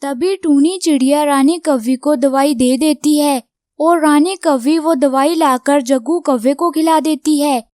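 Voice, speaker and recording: A woman's voice: high voice, measured pace, a little mellow, dry recording, studio quality. A woman reading scripted narration for a children's cartoon story.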